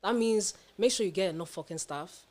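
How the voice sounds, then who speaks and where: A woman's voice: mid-range voice, a little fast, darkish tone. A young woman talking casually and candidly as a podcast guest, emphatic, slangy and sweary. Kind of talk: casual personal chat.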